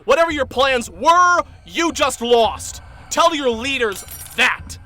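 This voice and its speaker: A man playing a paranoid young man, high voice. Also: animated, slow, faint music behind, full character voice. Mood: frantic. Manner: agitated and insistent, turning hushed and confiding. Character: paranoid young man who fears his friends are inhuman. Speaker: lead character. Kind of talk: amateur audio drama acting.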